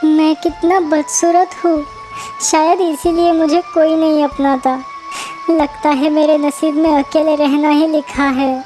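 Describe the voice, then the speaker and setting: A forlorn little cartoon duckling, acted by a woman, with a very high young voice. The rejected youngster speaks over clear background music. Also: level tone, unhurried, warm tone, rough recording.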